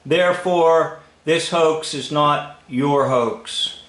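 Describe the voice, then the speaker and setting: A man's voice: on the high side, very slow, fairly bright tone. A man giving informal, sceptical commentary on an old film, instructing in short emphatic phrases. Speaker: video commentator. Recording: echoey room.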